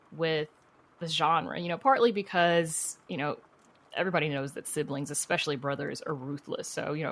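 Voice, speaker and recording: Woman, low voice, podcast guest, casual storytelling, candid, chatty, conversational fillers. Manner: candid, chatty, peppered with "you know" and "like". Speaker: podcast guest, horror writer.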